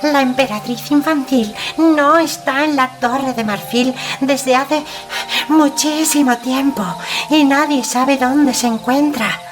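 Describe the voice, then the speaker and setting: A man voicing a tiny, panting rabbit-like messenger creature that speaks humbly and apologetically in a squeaky voice. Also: takes it slowly. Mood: anxious, apologetic. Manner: deferential, stammering. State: panting, out of breath. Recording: music behind the voice.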